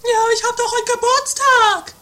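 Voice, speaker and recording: A woman's voice: very high-pitched, fairly even intonation, moderate pace, crowd noise. A woman playing a dramatic, fearful, boastful sister in a trashy comedy radio play, whiny and theatrical. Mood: whiny, anxious.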